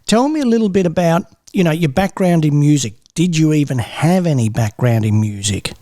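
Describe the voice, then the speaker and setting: Man hosting a podcast, mellow tone, dry sound, chatty and encouraging. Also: a little high, animated, a little fast, no room sound. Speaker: podcast host. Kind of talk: casual interview.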